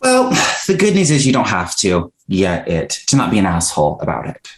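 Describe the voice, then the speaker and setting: Guy reading the part of a young nonbinary dinner guest, candid n fed up, wry, really animated pitch. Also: fairly quick, full character voice. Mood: candid, defiant. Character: exasperated young non-binary dinner guest, frank and defiant. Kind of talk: table read of a comedy pilot script.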